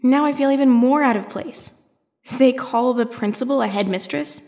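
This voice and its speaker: A woman's voice: high-pitched, moderate pace, a little mellow. An actress playing a chatty, uneasy teenage girl in an audio drama, talking in a casual, wry, questioning way. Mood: uneasy, curious.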